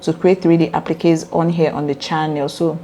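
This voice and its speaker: A woman with a raspy voice hosting a casual step-by-step sewing tutorial, chatty and earnest as she talks straight to her viewers. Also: low voice, flat delivery, fairly quick, dark tone, slight background noise.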